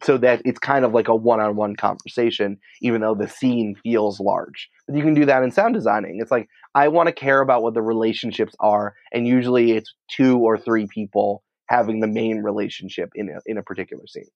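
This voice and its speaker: A man's voice: fairly low pitch, a little fast, few pauses, no echo, muffled recording. An American man answering interview questions in an informal, emphatic, conversational way, peppering his speech with "like". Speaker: podcast producer and writer, interview guest.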